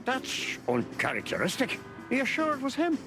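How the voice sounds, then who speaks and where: A man's voice: very high voice, huge pitch swings, moderate pace, exaggerated voice. An actor playing a jovial, stubborn old beaver in a video game, with an Irish accent and a teasing, folksy, fatherly manner. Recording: music under the voice.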